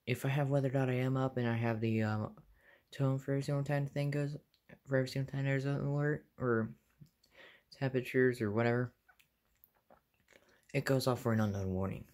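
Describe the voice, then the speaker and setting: A young American man with a medium-pitched voice talks viewers through a hobby in a casual way. His phrases are short, plain and offhand. It sounds like a handheld phone video made in a bedroom. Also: brisk, long pauses, darkish tone. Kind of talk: casual show-and-tell narration. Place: small carpeted bedroom, indoors. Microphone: handheld phone behind the camera.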